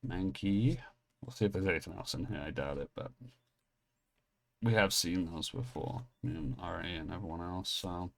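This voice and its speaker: A man in his thirties from England with an English accent softened by American habits, telling a rambling story and then opening trading card packs in a casual, self-mocking way. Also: low voice, animated, normal speed, vocal fry. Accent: English, partly Americanized. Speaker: pack-opening channel host.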